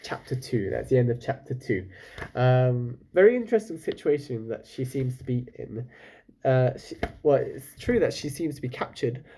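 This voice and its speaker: A man's voice: mid-range voice, expressive, moderate pace, mellow, a little hiss or hum. A man reading a children's story aloud like a teacher, breaking off for casual questions and comments to his listeners.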